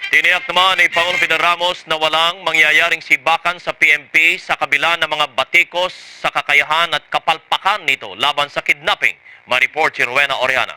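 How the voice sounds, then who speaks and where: A man's voice: fairly high pitch, fairly even intonation, brisk, sharp tone, slight background noise, low-quality recording. A Filipino man reading scripted television news as the anchor of an archived newscast. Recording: archived 1990s TV newscast, music underneath.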